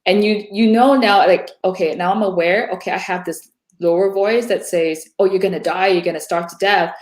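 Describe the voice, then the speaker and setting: A woman coaching a client, speaking calmly and reassuringly. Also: medium pitch, echoey room. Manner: calm, reassuring, slows down for meditation. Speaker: intuition coach. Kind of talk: coaching session and guided meditation.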